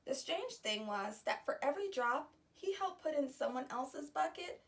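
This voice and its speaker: A woman reading a children's picture book aloud, pausing to explain the story to young listeners. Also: sits high, expressive, recorded from a distance. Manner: slow, gentle storytime delivery with explanatory asides. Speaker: children's read-aloud host.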